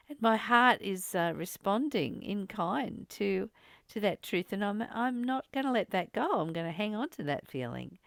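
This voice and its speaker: A woman leads a reflective spiritual discussion in a smooth, clear voice at a middling pitch. She is encouraging and reassuring, talking things through in thoughtful phrases. The sound is dry and close. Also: no room sound. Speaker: study group host.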